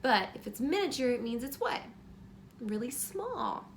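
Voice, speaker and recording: A woman reading a children's story aloud like a teacher, pausing to explain simply and ask encouraging questions. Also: a little high, very animated, slight background noise.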